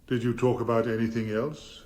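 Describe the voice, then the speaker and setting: An actor playing a formidable English defence barrister in a radio drama, commanding, deliberate and rhetorical. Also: male voice, medium pitch, little pitch movement, darkish tone, lightly acted. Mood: gently questioning.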